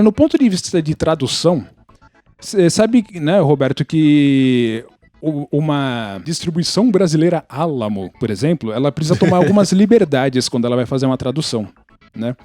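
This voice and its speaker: A Brazilian man talks casually on a podcast, explaining his views in an opinionated way. His voice sits a little high yet stays soft and dark in tone. The sound is very dry, with no room around it. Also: no room sound. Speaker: podcast participant.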